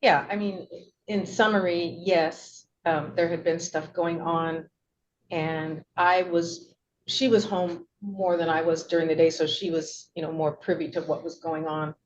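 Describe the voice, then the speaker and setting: A woman's voice: fairly low pitch, fairly even intonation, unhurried. A middle-aged woman giving courtroom testimony, hesitant and wordy, often correcting herself as she goes. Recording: dull, noisy courtroom audio.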